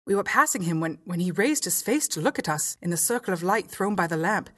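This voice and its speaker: A woman's voice: fairly low pitch, animated, a little fast, a bit bright. A woman voicing a timid but shrewd young lady earnestly recounting what she saw. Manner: earnest, confiding.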